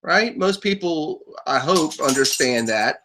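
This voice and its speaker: A man hosting a talk show, his voice pitched high and bright. He explains things at length, chuckling now and then and often repeating himself as he restarts a thought. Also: lively intonation, measured pace, slight background noise. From United States. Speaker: podcast host.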